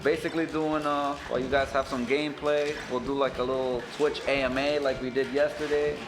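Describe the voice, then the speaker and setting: A man's voice: mid-range voice, flat delivery, fairly quick. A young man with a New York accent, chatting in a laid-back, friendly way as a stream co-host. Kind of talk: casual stream chat. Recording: echoey room with music.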